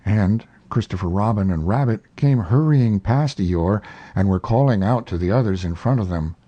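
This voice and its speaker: Low, mellow male storybook narrator. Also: animated, moderate pace, lo-fi sound. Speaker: audiobook narrator. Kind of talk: scripted children's storybook narration.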